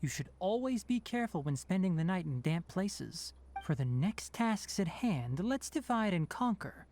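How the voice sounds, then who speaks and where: An actor playing a young, knowledgeable forest ranger in a video game, instructive and practical with a dry candour. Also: male voice, high voice, sing-song, normal speed, darkish tone, slight background noise. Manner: instructive, matter-of-fact, slightly dry.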